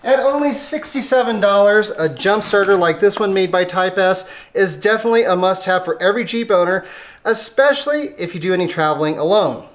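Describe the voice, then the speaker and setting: An American how-to host with a mid-pitched voice, explaining a hands-on job step by step in a casual, conversational way. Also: expressive, fairly quick, slight background noise. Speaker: host of an automotive how-to channel. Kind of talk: casual how-to tutorial narration.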